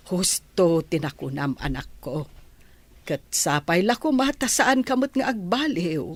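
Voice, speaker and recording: An actress with an Ilocano accent playing a proud, moralizing older mother with a sharp tongue in a comedy radio drama. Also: on the low side, a little slow, dry recording. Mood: proud, advising.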